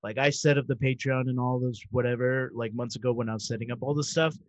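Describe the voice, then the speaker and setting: A man's voice: medium pitch, steady tone, fast talker, no room sound. A man in his thirties in casual chat, rambling and correcting himself as he goes, laughing easily. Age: 30s.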